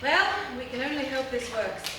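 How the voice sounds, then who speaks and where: A proud, easily indignant woman professor, played by an actress with a high voice. The comic radio play is heard from afar, echoey and noisy. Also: moderate pace, lightly acted. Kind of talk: live comedic radio play acting. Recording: echoey live theatre from far off, clear noise.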